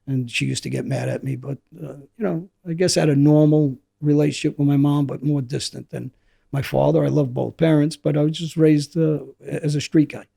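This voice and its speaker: A middle-aged man with a hoarse, gravelly voice, telling his story as an interview guest in a rambling way that often restarts. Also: on the high side, fairly even intonation, a little fast, warm tone. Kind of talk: candid storytelling.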